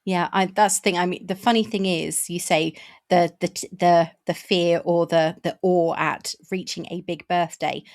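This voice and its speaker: A woman's voice: on the low side, steady tone, moderate pace, darkish tone. Frank woman podcast host in her fifties. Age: 50s. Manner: conversational, frank, with restarts. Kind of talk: casual interview chat.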